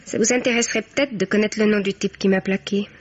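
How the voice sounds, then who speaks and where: An actress playing a frank, cheeky young student daughter in a 1950s French radio mystery. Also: female voice, medium pitch, steady tone, fast talker, close to an everyday voice. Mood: bitter, defiant. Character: brash, wry young student daughter, freshly jilted. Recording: dull vintage radio recording.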